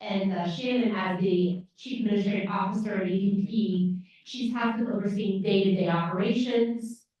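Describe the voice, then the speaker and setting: A woman's voice: medium pitch, moderate pace, darkish tone. A woman moderator introducing panelists at a live event, with frequent fillers and restarts. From grew up on a dairy farm. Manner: hesitant, many fillers. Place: large echoey lecture room. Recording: distant echoey hall audio, dull.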